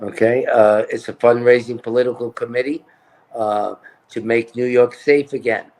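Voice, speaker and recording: A man's voice: medium pitch, fairly even intonation, normal speed, darkish tone. A man talking as a political candidate in a casual interview, emphatic and repeating his points.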